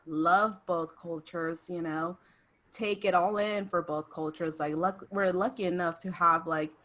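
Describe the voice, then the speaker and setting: Low-voiced young woman co-hosting a podcast. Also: a little slow. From United States. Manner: relaxed, laughs often, many fillers. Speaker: podcast co-host. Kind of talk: casual chat on a video call. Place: bedroom at home, indoors. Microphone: video call webcam mic.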